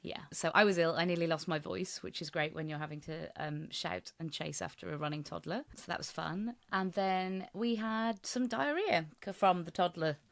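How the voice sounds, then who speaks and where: A woman's voice: on the low side, normal speed, creaky, few pauses. A woman with a British accent co-hosting a podcast in casual chat, wry and self-deprecating. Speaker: podcast co-host.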